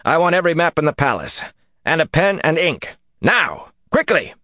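A man voicing a commanding, impatient fantasy hero in an audiobook, barking curt orders in a shout. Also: on the high side, sing-song, normal speed, no room sound, clean studio sound, voice pushed up. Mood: urgent, imperious.

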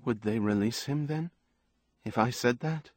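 A man's voice: mid-range voice, measured pace, a little mellow, dry recording, studio quality, pitched above the performer's own voice, natural voice. A man voicing a troubled, needy young woman in audiobook dialogue, with an earnest, pleading manner. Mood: hopeful, unsure. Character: troubled young woman, fragile, defiant, trusting, emotionally needy.

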